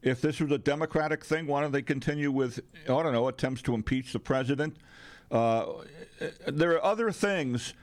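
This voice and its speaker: A man's voice: mid-range voice, moderate pace. An American man co-hosting talk radio in conversational banter, emphatic and exasperated, often repeating himself. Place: radio studio.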